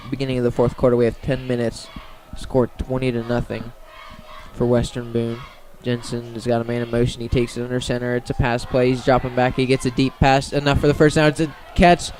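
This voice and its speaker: A man's voice: mid-range voice, little pitch movement, fairly quick, darkish tone, slight background noise. A man giving radio-style play-by-play commentary on a football game.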